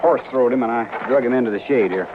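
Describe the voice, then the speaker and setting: An actor playing a wary, plain-spoken drifting cowboy with folksy frontier grammar in an old radio western. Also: male voice, mid-range voice, fast, close to an everyday voice. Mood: plain, earnest. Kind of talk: 1950s radio western acting. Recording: muffled, noisy old radio recording.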